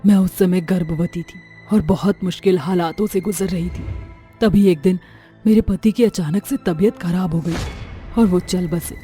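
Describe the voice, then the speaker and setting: A woman's voice: lowish voice, moderate pace, dark tone, faint music behind, close to an everyday voice. A woman voicing a vengeful, sorrowful witch ghost in a horror story, with a dramatic, threatening delivery. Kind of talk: Hindi horror story voice acting.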